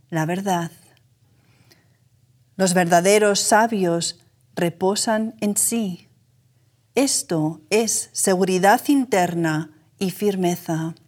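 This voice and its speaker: A woman giving a measured, solemn scripted religious reading. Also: mid-range voice, a little mellow. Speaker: reader.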